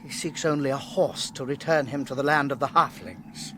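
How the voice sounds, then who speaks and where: An actor playing a frightened, sneaky, servile messenger in a radio fantasy drama, obsequious and pleading. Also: male voice, medium pitch, steady tone, normal speed, bright, forceful tone, a little hiss or hum, natural voice. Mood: anxious.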